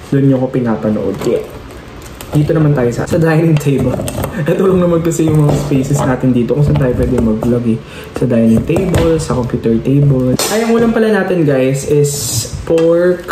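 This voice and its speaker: A man's voice: on the high side, noisy background. A man in his thirties with a Filipino accent, chatting casually and animatedly to a phone camera at arm's length in a small apartment room. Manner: chatty, animated, laughing asides, mixing English into Filipino. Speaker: vlogger. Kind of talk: casual daily vlog chat.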